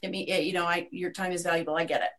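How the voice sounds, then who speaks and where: A woman's voice: fairly low pitch, steady tone, very fast, a bit bright, a little hiss or hum. Chatty upbeat Midwestern woman. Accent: Midwestern American. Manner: chatty and upbeat, many restarts. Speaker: guest, media company owner. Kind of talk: webinar conversation.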